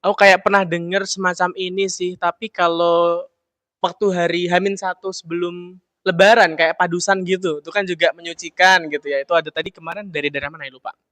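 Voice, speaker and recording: Young male interviewer from Solo, upbeat and chatty, bright tone, dry close recording. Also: high voice, flat delivery, fairly quick, dry recording. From Solo, Central Java. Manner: upbeat, repeats "oke, siap". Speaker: interviewer host. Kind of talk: casual interview chat.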